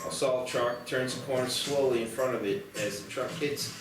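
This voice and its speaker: A man narrates screenplay action in scripted prose. His mid-pitched voice sounds distant and roomy, with music clearly playing behind him. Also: steady tone, moderate pace. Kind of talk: scripted narration at a table read. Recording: echoey, distant mic, loud background music.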